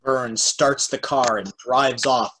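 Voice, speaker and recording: A man's voice: mid-range voice, fairly even intonation, slow pace, pushed and bright, a little hiss or hum. A man reading stage directions as narrator of an amateur table read on a video call from home.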